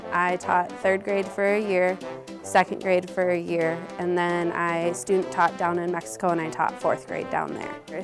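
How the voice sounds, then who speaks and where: A young adult woman teacher talking casually about her work and herself. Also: on the low side. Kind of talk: casual interview. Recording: music under the voice.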